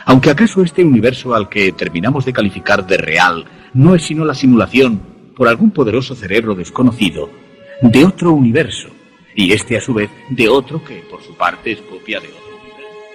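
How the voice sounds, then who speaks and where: A man's voice: medium pitch, sing-song, fairly quick. A man reading scripted dramatic narration in a solemn manner for a radio story. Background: music.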